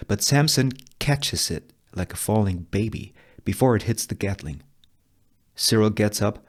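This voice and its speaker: A man reading screenplay narration and scene headings in a measured, deliberate way, in short phrases. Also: lowish voice. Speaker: table read narrator reading all parts.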